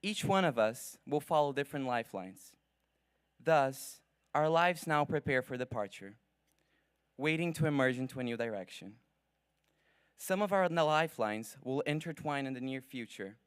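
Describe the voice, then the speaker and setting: A young man whose first language is Portuguese, giving an earnest, formal graduation speech from a podium at a ceremony, with measured pacing and dramatic pauses. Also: medium pitch, clear, resonant tone, no echo, studio quality. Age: young adult. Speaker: graduating student speaker. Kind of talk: prepared commencement speech.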